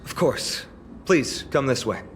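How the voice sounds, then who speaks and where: An actor playing a courteous, apologetic priest in a video game, explaining lore in a polite, measured way. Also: male voice, mid-range voice, animated, a little hiss or hum. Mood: polite, explanatory. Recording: loud game music underneath.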